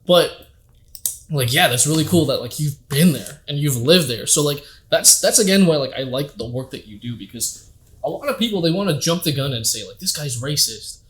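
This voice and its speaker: A man's voice: a little high, expressive, brisk. A man from Canada hosting a podcast in casual chat, loose and profane, laughing often. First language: Gujarati. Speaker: podcast host.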